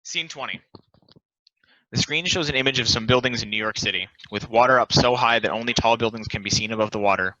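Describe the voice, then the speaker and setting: A man's voice: medium pitch, brisk, pushed and bright, no echo. A man reading scripted stage directions as narration at a table read. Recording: dull, lo-fi close dry recording.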